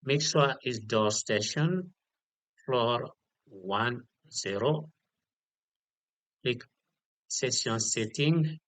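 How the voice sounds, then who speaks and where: Slow-paced man giving step-by-step instructions. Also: mid-range voice, animated, fairly bright tone, no room sound. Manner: instructional, repeats key details and checks with "okay". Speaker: tutorial instructor. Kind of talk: step-by-step software tutorial, unscripted.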